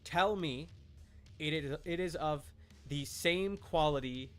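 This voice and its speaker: A man's voice: on the high side, slow pace, fairly bright tone, clear, resonant tone, soft background music. A man livestreaming a video game, chatting casually in an opinionated, emphatic, argumentative way. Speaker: streamer.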